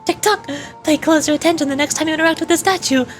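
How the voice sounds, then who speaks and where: A woman puts on a cartoon clock mascot from a video game, very bouncy and high. It is loud, jaunty and grandly friendly, exclaiming with gratitude. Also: fast talker, soft background music, lightly acted. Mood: jaunty, grateful.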